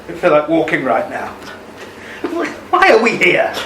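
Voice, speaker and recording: A man's voice: a little high, wildly swooping pitch, moderate pace, bright, forceful tone, full character voice. A man playing an older ex-army husband in a radio play, regretful and haunted by a lost love, speaking with hesitant emotion. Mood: guilty, regretful, then anxious, questioning. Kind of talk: amateur radio play acting. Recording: roomy, distant mic, faint noise.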